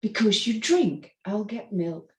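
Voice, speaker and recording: Woman as worried mother, distant and mellow. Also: medium pitch, sing-song, slow. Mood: worried, chiding. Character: worried, scolding Japanese immigrant wife and mother. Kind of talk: script table read. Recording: roomy, distant microphone.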